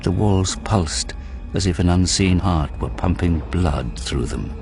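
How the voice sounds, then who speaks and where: Deep-voiced man narrating over music. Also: a little slow, darkish tone. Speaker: audio drama narrator. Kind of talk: dramatic scripted narration of a war story. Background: prominent dramatic music.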